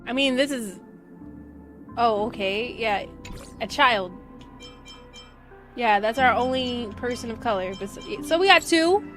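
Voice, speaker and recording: A woman with a fairly high voice chats casually while livestreaming a game. Her talk is halting and exclamatory, with phrases started and repeated, over clearly audible music. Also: animated. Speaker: live streamer playing a game. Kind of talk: casual livestream chat with viewers.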